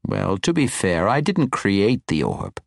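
A man voicing an eccentric, kindly old wizard in an audiobook, animated, rambling and full of exclamations. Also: lowish voice, a little slow, vocal fry, dry recording, clean studio sound, full character voice. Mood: boastful.

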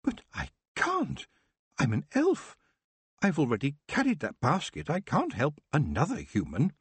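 A man's voice: fairly high pitch, huge pitch swings, measured pace, breathy edge, dry recording, voice pushed up, exaggerated voice. A man voicing a haughty young female elf. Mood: disdainful.

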